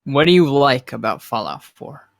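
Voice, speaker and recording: A young man co-hosting a casual gaming podcast, measured and opinionated, pausing now and then to think. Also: mid-range voice, big pitch swings, vocal fry, no echo, studio quality. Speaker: podcast co-host.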